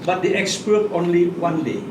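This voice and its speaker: A high-pitched man with an Indonesian accent gives an informal craft demonstration. He talks in short, halting, simple phrases. The voice is picked up from a distance in an echoey space with clear background noise. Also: lively intonation. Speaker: puppet workshop guide and demonstrator.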